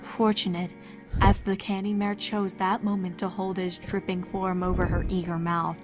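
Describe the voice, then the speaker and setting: A woman's voice: on the low side, flat delivery, moderate pace. A woman reading fan fiction aloud as a dramatic scripted narration. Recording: music behind the voice. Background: background music.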